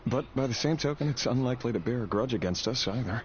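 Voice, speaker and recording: An actor voicing a weary, earnest young man with a secret grim trade, speaking in a measured way for a video game. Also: mid-range voice, lively intonation, slight background noise, natural voice. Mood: resigned, gentle. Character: weary young man, secret royal executioner, tender but brooding. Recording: dull game audio under loud music.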